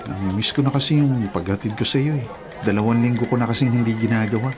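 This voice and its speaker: An actor with a Filipino accent playing a pushy, jealous, hot-headed young suitor, confrontational, in a radio drama. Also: male voice, mid-range voice, fairly even intonation, fast, crowd noise, close to an everyday voice. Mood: pleading, insistent.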